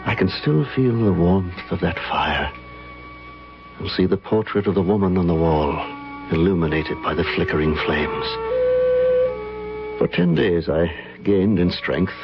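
A man acting in an old-time radio drama as an earnest, courteous gentleman, narrating and speaking in a formal, theatrical manner. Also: deep voice, a little slow, long pauses. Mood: solemn, confessional. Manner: formal, theatrical, solemn, hesitant. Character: earnest, courteous gentleman recounting a haunting memory. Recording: dull old radio broadcast, music behind.

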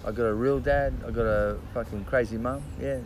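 A man chatting as a podcast guest, halting and full of restarts and fillers, swearing casually. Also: medium pitch, fairly even intonation, noisy background. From Australia. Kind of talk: casual interview chat.

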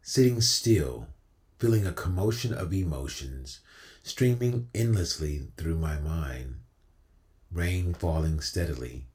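Very deep, slow-spoken man. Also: expressive, a little mellow. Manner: earnest, spiritual, encouraging. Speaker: featured spoken-word storyteller on a broadcast. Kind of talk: reflective inspirational talk and spoken-word poetry.

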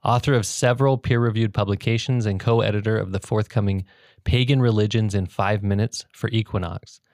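A man's voice: fairly low pitch, fairly even intonation, a little fast, a little mellow, dry recording, studio quality. A man hosting an interview podcast, talking in a hesitant way with many ums and restarts. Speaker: podcast host. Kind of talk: conversational interview.

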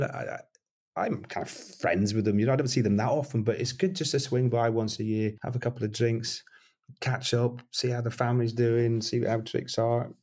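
Middle-aged male musician, husky voice, loose rambling interview talk. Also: medium pitch, darkish tone. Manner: rambling, self-deprecating, frequent restarts. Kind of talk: casual interview chat.

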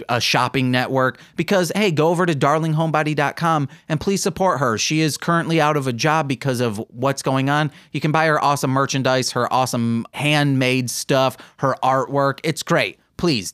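A man's voice: medium pitch, fairly bright tone, smooth, no room sound, studio quality. A man hosting a podcast, speaking casually as he runs through announcements and sign-offs. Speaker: podcast host. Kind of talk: casual podcast outro with plugs.

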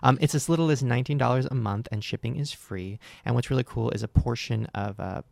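A young man hosting with a brash, crude, playful streak, his voice carrying a gravelly rasp. Recorded close and dry, with no room around it. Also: medium pitch, fast talker, a little mellow, dry recording. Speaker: host. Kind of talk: casual podcast chat and ad read.

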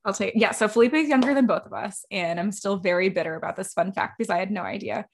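Chatty self-deprecating woman podcast host. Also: medium pitch. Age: 30s. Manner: chatty, self-deprecating, quick run-on talk. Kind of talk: casual interview chat.

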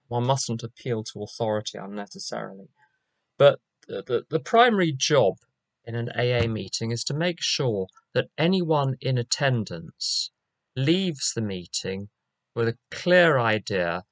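An older man with a London accent speaks at a recovery meeting in a measured, reflective and quietly wry way. His voice sits in an ordinary middle register. The sound is dry, with almost no echo from the room. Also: medium pitch. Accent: London English. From England. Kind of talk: personal share at a recovery meeting.

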